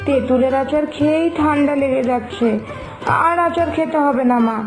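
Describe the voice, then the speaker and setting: Woman voicing a high-pitched, fretful young daughter-in-law. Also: normal speed, over music, close to an everyday voice. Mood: worried. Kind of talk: scripted cartoon dialogue.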